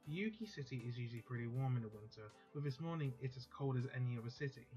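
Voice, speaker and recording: A man casually reading a visual novel's text aloud, steady but stumbling and correcting himself now and then. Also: mid-range voice, quick pace. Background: faint background music.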